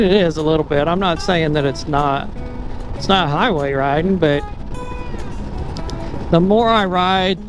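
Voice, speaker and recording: Man, higher voice, casual rambling motorcycle vlog, music behind. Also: low-quality recording. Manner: rambling, self-amused, thinking aloud. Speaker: motorcycle vlogger. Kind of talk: casual ride commentary. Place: on a motorcycle on mountain roads.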